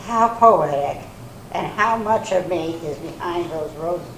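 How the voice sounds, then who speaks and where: In an echoey hall, at a distance, a woman acts out a worldly older lady with a lowish voice. She is dry, sardonic and quick to challenge, in stage-reading style. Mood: wry suspicion. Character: wry, skeptical older woman, once famous, unimpressed. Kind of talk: readers theater stage acting. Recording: echoey hall from far off, faint noise.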